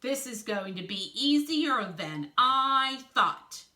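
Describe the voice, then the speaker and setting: Woman voicing an excitable, bright storybook wolf leader. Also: on the high side, takes it slowly, pitched above the performer's own voice, heavily acted. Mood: relieved, confident. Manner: exclaiming, pleading, theatrical. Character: excitable male wolf gang leader, dramatic and easily dismayed.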